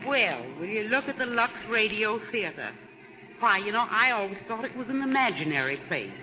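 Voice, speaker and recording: A woman's voice: medium pitch, sing-song, normal speed, sharp tone. A woman playing a chatty, marvelling mother sightseeing in a 1930s radio advertisement. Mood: pleasantly surprised. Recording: noisy 1930s radio recording, vehicle sounds.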